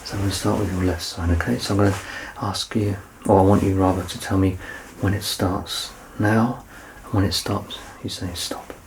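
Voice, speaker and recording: A man in his thirties doing a soft-spoken ASMR roleplay as a doctor, gentle and reassuring, often close to a whisper. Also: low voice, fairly even intonation, normal speed, rough recording. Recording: clear background noise and ambience.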